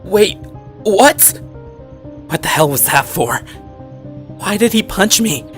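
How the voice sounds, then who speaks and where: A man playing an anxious, introspective high school boy who narrates his own story. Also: lively intonation, takes it slowly, a bit bright, exaggerated voice. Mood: shocked, pained. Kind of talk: first-person scripted story narration. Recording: music under the voice.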